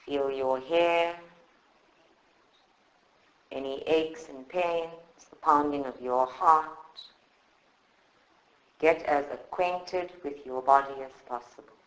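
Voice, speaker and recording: A man guides a meditation in a fairly high voice. He speaks very slowly and soothingly, leaving long pauses between short phrases. The live recording is roomy and clearly echoing. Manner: calm, soothing, measured instruction with long pauses. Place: indoor room at a group meditation session.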